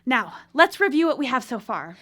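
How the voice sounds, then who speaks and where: A woman's voice: sits high, sing-song, moderate pace, bright, forceful tone, exaggerated voice. A woman playing a driven, obsessive female scientist in a live radio drama, earnest and intensely theatrical. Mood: grand, obsessed.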